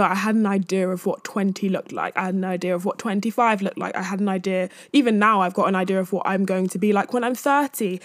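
A woman's voice: mid-range voice, dry recording. Chatty woman in her twenties, dry close sound. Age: 20s. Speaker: podcast host. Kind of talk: casual chatty solo podcast.

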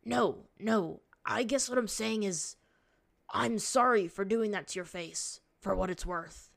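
A woman voicing an earnest, vulnerable young man in a fantasy story, apologetic yet stubborn, with halting pleas. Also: mid-range voice, vocal fry, a bit bright.

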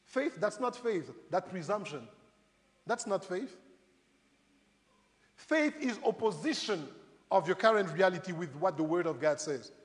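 High-voiced male preacher in a reverberant hall. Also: lively intonation, a little slow, pauses often. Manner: conversational, rhetorical questions, addresses congregation directly. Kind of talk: animated sermon with acted-out Bible stories. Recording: reverberant hall, long echo.

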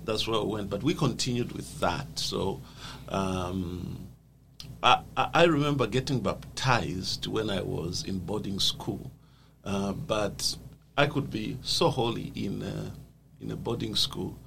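Zambian guy telling his life story, earnest and thoughtful, kinda gravelly, noise behind him. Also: lowish voice, a little slow, a bit bright. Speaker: guest. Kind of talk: personal life story in a conversation. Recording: noisy background.

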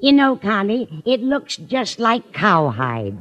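Older female landlady, fond, inquisitive, swooping pitch, 1950s radio comedy, dull vintage recording. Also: sing-song, takes it slowly, fairly bright tone. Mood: curious, fond. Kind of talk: 1950s radio sitcom acting. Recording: muffled old-time radio recording.

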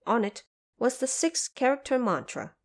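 A woman's voice: fairly high pitch, very animated, normal speed. A woman reading scripted narration of a classic fantasy tale for an audio drama. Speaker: storyteller.

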